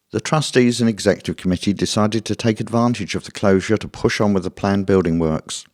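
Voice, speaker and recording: Male, very low voice, formal scripted update, close dry sound. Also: a little mellow, no echo. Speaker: theatre official. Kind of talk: scripted statement read aloud.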